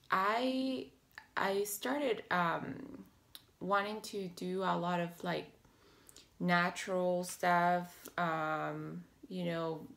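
A woman in her thirties talking to the camera in a casual home vlog, slightly hoarse and raspy, with many fillers and restarts. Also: on the low side, a bit bright. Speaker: health vlogger. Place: small home office with bookshelves, indoors. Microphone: webcam or laptop camera at desk.

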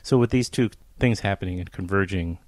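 A man's voice: low voice, fairly quick, a little mellow, no room sound. A man hosting an interview, asking loose, rambling questions with frequent restarts. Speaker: podcast host.

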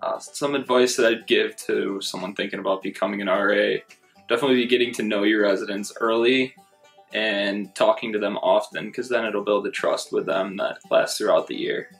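A young man from the Syracuse area, a college resident assistant, answering interview questions in a relaxed way. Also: on the low side, normal speed, gravelly, soft background music. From Syracuse area, New York. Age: young adult. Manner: relaxed, a few "uh" fillers. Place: indoors before a plain grey backdrop.

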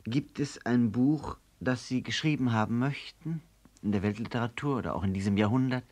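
A man's voice: mid-range voice, normal speed, darkish tone. A man asking polite, formal interview questions on an old 1960s broadcast recording.

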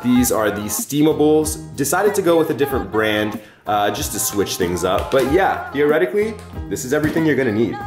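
A young man talks straight to his viewers in an upbeat, chatty way while cooking at home. His voice bounces around a roomy kitchen, and clear background music runs beneath it. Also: medium pitch, big pitch swings, brisk. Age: young adult. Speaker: fitness vlogger, host. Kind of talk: casual cooking demo to camera.

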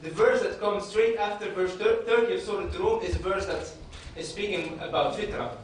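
A man in his thirties, raised in Belgium with Dutch as his first language, giving an earnest, measured lecture from a panel table in a hall, often restarting his phrases. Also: sits high, fairly even intonation, a little hiss or hum. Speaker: panelist speaker. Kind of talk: public lecture. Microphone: table microphone.

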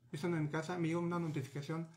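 Hombre mexicano, cuarentón, entrevistado por webcam desde su despacho; tono algo agudo, habla agitada, gesticula, se corrige a menudo. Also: male voice, fairly high pitch, very fast. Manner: animated, gesturing, many restarts and fillers. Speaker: interviewee. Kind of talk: recounting a personal story. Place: small home office with bookshelves. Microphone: video call webcam.

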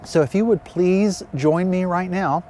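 A man in his fifties speaking as a pastor, giving announcements and gentle instruction in a measured, halting way, outdoors. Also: high voice, slight background noise. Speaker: pastor leading an online worship gathering. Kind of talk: spoken announcements and pastoral address. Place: outdoors beside a river.

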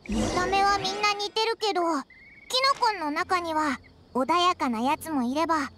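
A woman's voice: very high voice, moderate pace, sharp tone. A woman playing a small, childlike, tomboyish fairy companion in a Japanese game dub, talking informally and full of exclamations. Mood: curious, casual.

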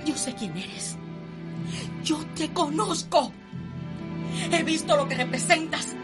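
An actress with a Cuban accent playing a proud, defiant wronged young wife in a radio soap opera, vehement in delivery. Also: female voice, high voice, lively intonation, slow. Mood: bitter defiance. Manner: impassioned, vehement. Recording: loud music behind the voice.

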